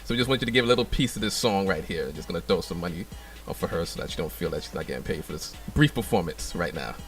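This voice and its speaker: Laid-back, low-voiced radio host. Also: male voice, expressive, fast, vocal fry, recorded from a distance, soft background music. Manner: laid-back, many fillers. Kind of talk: casual radio interview. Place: radio studio.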